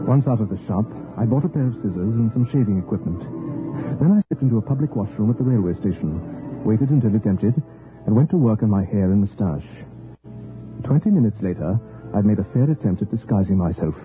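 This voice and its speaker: An actor playing a fleeing king who narrates his escape in measured first-person storytelling, in an old radio drama. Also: on the low side, expressive, close to an everyday voice. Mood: tense. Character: fleeing male king in disguise, tense and resourceful. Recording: tinny old radio broadcast with music.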